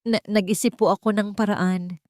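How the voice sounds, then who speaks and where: A woman's voice: mid-range voice, steady tone, moderate pace, a little mellow, no room sound, clean studio sound, voice pushed up, lightly acted. A woman voicing a desperate, respectful waitress who pleads her case in an audio romance story. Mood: frightened, pleading. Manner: pleading, deferential.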